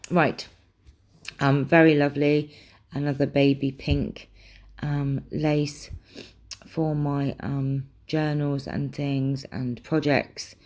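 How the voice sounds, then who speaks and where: A woman's voice: low voice, level tone, slow pace, long pauses, mellow. A young British woman in a casual craft vlog, chatting and rambling with lots of ums, her voice a little worn and throaty. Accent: British English. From England. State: slightly losing her voice, clearing her throat. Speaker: craft vlogger. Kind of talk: casual chat showing craft supplies.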